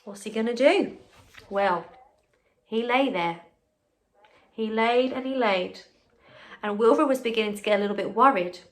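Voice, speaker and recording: A woman's voice: on the high side, unhurried, long pauses. A woman reading a picture book aloud as a primary teacher, expressively, with chatty asides and questions to the children. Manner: expressive, encouraging, asks the listener questions. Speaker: primary school teacher reading a story to pupils.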